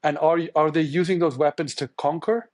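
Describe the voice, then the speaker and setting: A man's voice: a little high, steady tone, moderate pace, darkish tone, dry recording. Thoughtful male journalist, dry close sound. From India. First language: Tamil. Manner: thoughtful, searching, many restarts and fillers. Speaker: journalist guest. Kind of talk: podcast interview answer.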